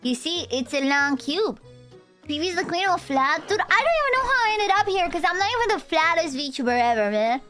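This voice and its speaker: High-pitched streamer w/ romanian accent, playful rambly chat, native romanian, bit of music in the back. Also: very animated, fairly quick, bright, forceful tone. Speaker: VTuber streamer. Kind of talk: casual live-stream chat.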